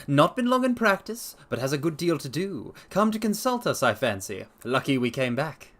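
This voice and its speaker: A man playing a brisk, keenly observant detective gentleman in a storybook reading, speaking in clipped exclamations. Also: a little high, big pitch swings. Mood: brisk deduction.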